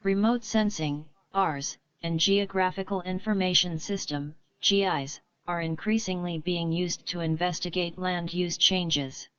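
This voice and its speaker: A computer-generated woman reads scholarly prose aloud in a somewhat low, clean voice. The sound is very dry, with a muffled, low-fidelity finish. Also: steady tone, measured pace, few pauses, fairly bright tone, smooth, dry recording. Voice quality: synthetic. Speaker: text-to-speech reader. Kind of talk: synthetic read-aloud of academic text.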